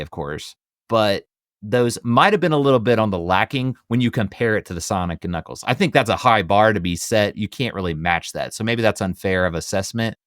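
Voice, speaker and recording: A man's voice: on the low side, fairly bright tone, dry recording, studio quality. A man co-hosting a casual film discussion, talking in an easygoing, hedging, meandering way. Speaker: podcast co-host leading the discussion.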